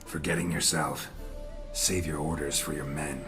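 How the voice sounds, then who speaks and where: An actor playing a gruff, world-weary monster hunter in a fantasy game, with a gravelly voice and clipped, deadpan delivery. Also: male voice, a bass voice, normal speed, over music. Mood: curt.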